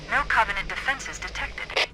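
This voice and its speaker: A woman's voice: moderate pace, a little hiss or hum. A woman playing a composed, analytical military artificial intelligence in a video game cutscene, briefing precisely. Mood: calm, tactical. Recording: loud music behind, low-quality game audio.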